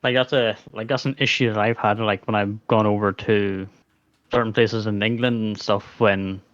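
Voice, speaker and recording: A man with a Northern Irish accent chatting quickly and casually on a voice call. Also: lowish voice, fairly even intonation, a bit bright, lo-fi sound. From northern Ireland. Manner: fast talking, chatty. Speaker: community member. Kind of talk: casual voice chat.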